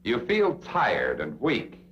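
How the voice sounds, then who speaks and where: Dull old-film recording, animated American male narrator. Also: medium pitch, takes it slowly. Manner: direct address to the listener, rhetorical questions, emphatic. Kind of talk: 1960s instructional film narration. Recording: dull, noisy old film soundtrack with hum.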